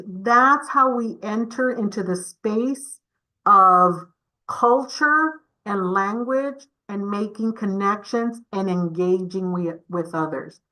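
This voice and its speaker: Woman presenter, encouraging, asks the audience questions, bright voice, unhurried. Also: medium pitch, poor audio. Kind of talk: community webinar presentation.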